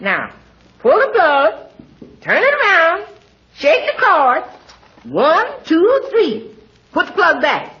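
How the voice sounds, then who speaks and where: An actress playing a cheerful, plain-spoken housekeeper with folksy grammar and a confident manner in 1940s radio comedy. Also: female voice, extremely high pitch, wildly swooping pitch, slow pace, long pauses, heavily acted. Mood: folksy, sure. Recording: muffled, hissy 1940s radio recording.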